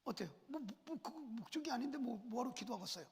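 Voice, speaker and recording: A man's voice: very high-pitched, animated, fast, gravelly, a little mellow, breathy edge. A man preaching a sermon as senior pastor, speaking through a cold and a cough, asking the congregation questions as he goes. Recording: long church reverb.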